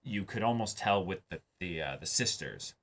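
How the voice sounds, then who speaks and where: A young man co-hosting a casual anime discussion, talking in a halting way with repeated words. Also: deep voice, expressive, fairly bright tone. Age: young adult. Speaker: co-host. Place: small indoor room set up for filming.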